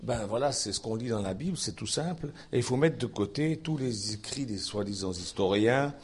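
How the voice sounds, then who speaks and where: Male Swiss French lay preacher, folksy and chatty, husky, very animated pitch. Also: moderate pace. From Switzerland. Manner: folksy, chatty asides, lively rising emphasis. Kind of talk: informal Bible sermon.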